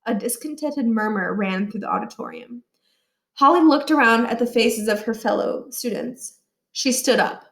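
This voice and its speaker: A woman narrates a children's book in an expressive storytelling style. Her voice sits fairly high, and the recording is roomy, with a clear echo. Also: moderate pace. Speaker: read-aloud storyteller. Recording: roomy, echoey indoor sound.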